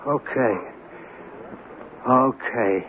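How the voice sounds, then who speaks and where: An actor playing a skeptical, streetwise small-time gambler in a radio drama, slangy and wary. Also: male voice, mid-range voice, very slow. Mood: wary, bargaining. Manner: slangy, plain-spoken, wary. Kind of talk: radio drama acting with first-person narration. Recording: tinny, hissy old radio recording.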